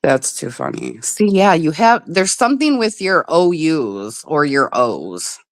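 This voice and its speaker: A woman's voice: deep voice, big pitch swings, measured pace, no room sound. A woman from the Midwest of the United States hosting a casual livestream chat, talking loosely, swearing freely and laughing often. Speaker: livestream host.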